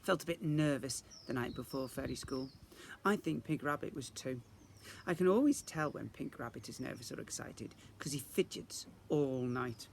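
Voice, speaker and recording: A man reading a children's story aloud in the expressive style of a teacher reading to young children. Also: medium pitch, gravelly, a little hiss or hum.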